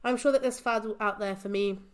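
A woman's voice: medium pitch, brisk. A woman from Portugal, chatting as a podcast guest and often breaking off to restart her sentences. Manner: chatty, self-interrupting, laughs often. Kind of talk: casual conversation.

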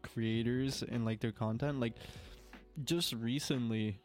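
A man's voice: medium pitch, fairly even intonation, slow pace, a little mellow, soft background music. A young man with a cold, congested, chatting casually as a podcast co-host and rambling with lots of filler words. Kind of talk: casual chat.

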